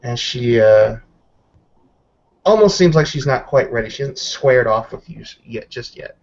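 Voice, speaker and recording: Man, mid-to-low pitch, conversational and halting tabletop roleplay narrator, slightly muffled lo-fi recording. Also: animated, a little mellow. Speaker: player narrating a character's combat moves. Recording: dull lo-fi voice recording.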